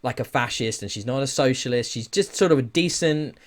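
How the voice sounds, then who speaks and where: A man's voice: mid-range voice, a little fast. A man with a mid-range voice hosts a casual podcast. He talks in a loose, rambling style and readily pokes fun at himself. It sounds like informal chat about games and news. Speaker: podcast host.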